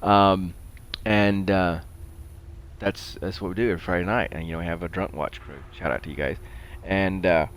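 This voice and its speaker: A man's voice: deep voice, fairly even intonation, moderate pace. A middle-aged man giving casual, rambling commentary while he plays a video game. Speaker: gaming show host. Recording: faint background noise.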